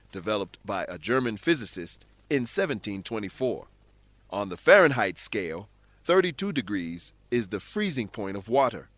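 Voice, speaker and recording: A man's voice: lowish voice, fairly bright tone, dry recording. A man giving scripted educational narration as a host and English teacher, in a didactic, carefully enunciated manner.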